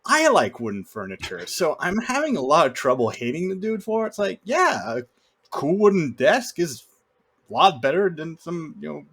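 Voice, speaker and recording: A man's voice: on the high side, sing-song, moderate pace, fairly bright tone, breathy edge. A man co-hosting a film podcast, chatting casually in an opinionated, wry way.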